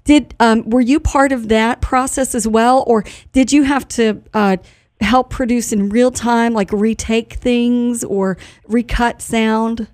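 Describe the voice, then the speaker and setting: A woman's voice: on the high side, fairly even intonation, takes it slowly, darkish tone, dry recording, clean studio sound. A woman hosting a radio show, chatting with guests in a casual interview and reacting as she goes. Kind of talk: live interview, casual chat.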